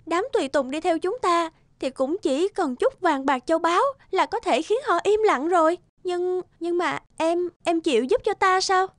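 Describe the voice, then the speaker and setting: Very high, gentle young princess. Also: female voice, moderate pace, hardly any pauses, dry recording, studio quality. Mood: earnest, hopeful. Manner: earnest, soft-hearted. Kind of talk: animated fairy-tale character acting. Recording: music under the voice.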